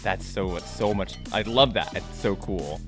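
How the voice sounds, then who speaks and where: Brief: Californian male podcast host, animated and laugh-prone, clear music bed behind. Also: sits low, a little slow. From United States. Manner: animated, laughs often, many fillers. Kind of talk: casual interview chat.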